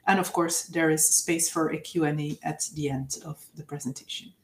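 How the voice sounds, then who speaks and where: A woman's voice: sits low, fairly even intonation, normal speed. Flemish-accented woman presenting, many uhs. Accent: Flemish Dutch. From Belgium. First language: Dutch. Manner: hesitant, many filler uhs. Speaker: university presenter. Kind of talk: online presentation. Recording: echoey room.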